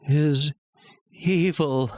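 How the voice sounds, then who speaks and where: A man voicing an obsessed madman who insists he is sane, in a theatrical, halting dramatic horror reading with a breathy, husky voice. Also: a little high, darkish tone, dry recording, studio quality, voice pushed up. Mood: obsessive, agitated. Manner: halting, theatrical, with long pauses and sudden swells. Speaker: narrator.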